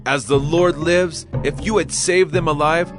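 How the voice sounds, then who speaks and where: A man's voice: a little high, fairly even intonation, measured pace, lightly acted. Man acting as Gideon, stern commanding war leader, music behind. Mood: stern, vengeful. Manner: declamatory, commanding. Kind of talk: dramatized scripture audio acting. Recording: voice over prominent music score.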